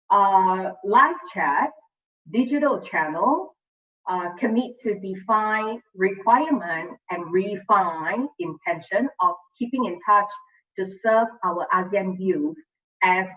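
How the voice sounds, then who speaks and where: A woman with a mid-range voice introduces speakers at an online event. She sounds distant and muffled, speaking semi-formally with halting pauses as she partly reads her remarks. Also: medium pitch, fairly bright tone. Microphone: online video call.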